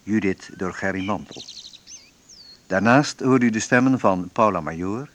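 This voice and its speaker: A man announcing in a formal, measured, scripted style, as at the credits of a radio play. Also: on the low side. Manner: slow, measured, list-like delivery.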